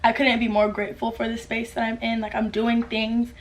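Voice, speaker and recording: A woman's voice: on the high side, level tone, brisk. A woman in her late teens, from the US, answering viewer questions in a casual, upbeat vlog, full of "like" fillers. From United States. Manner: chatty, upbeat, lots of "like" fillers. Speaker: YouTuber. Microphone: camera mic with ring light.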